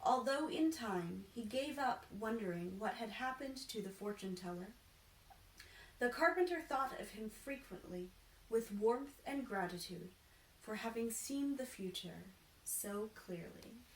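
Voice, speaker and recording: A woman reads a children's story in a fairly high voice, taking her time over each phrase. Her delivery is expressive and deliberate. The sound is echoey and a little far from the mic. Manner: animated, deliberate, acts out dialogue. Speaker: costumed storytime reader. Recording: echoey room, distant mic, faint noise.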